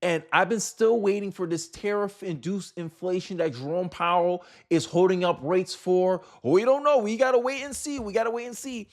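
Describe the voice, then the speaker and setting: A man's voice: high-pitched, steady tone, moderate pace, hardly any pauses. A man giving casual, opinionated political commentary in an incredulous, rhetorical way, repeating phrases for emphasis.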